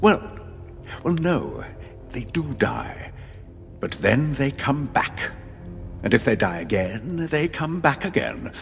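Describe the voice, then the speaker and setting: An actor playing a smug, theatrical military leader who talks with condescending polish in an audio drama. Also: male voice, very animated, slow pace, long pauses, heavily acted. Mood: gloating. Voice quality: low, gravelly. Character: smug, coolly enthusiastic male military leader. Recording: reverberant hall with music bed.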